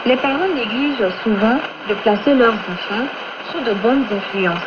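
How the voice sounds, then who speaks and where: Solemn higher-voiced woman on noisy shortwave. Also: measured pace. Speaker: radio preacher and presenter. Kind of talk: scripted religious exhortation. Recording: noisy, muffled shortwave radio broadcast.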